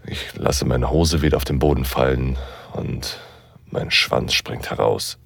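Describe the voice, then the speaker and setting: A commanding, teasing professor with an extremely low voice and a gravelly fry. He narrates an erotic audio drama slowly and deliberately, intimate and at times breathy, in a dry, close recording. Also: fairly even intonation, no room sound, full character voice. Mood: aroused, hushed. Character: dominant male university professor, seductive, teasing, commanding lover.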